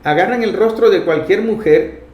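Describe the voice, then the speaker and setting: Man, Venezuelan accent, talk show host, fairly high voice, emphatic political commentary, roomy echo. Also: normal speed, lo-fi sound. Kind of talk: political commentary addressed to listeners. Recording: echoey, reverberant room.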